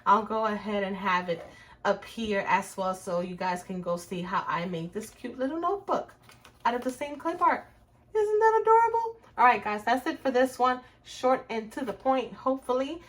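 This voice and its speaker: A woman's voice: fairly high pitch, sing-song, moderate pace, fairly bright tone. A middle-aged woman with a Puerto Rican accent, hosting a casual crafting tutorial and chatting to viewers about her work as she goes. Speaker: crafts channel host.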